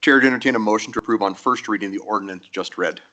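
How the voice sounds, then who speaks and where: A man presiding over a council meeting, brisk and procedural as he moves through the agenda in a council chamber. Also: fairly low pitch, pushed and bright.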